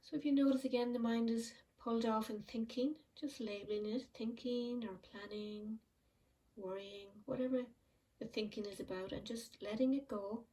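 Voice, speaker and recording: A woman leading a guided mindfulness meditation, speaking slowly and softly with long pauses. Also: fairly high pitch, far from the mic, rough recording. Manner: slow, soft and soothing, with frequent pauses. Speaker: meditation teacher.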